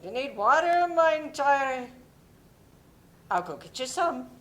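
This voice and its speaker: A woman playing a warm, fussy, motherly older neighbour in a dramatic play reading, doting and fretful as she chats. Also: sits high, very animated, takes it slowly, heavily acted.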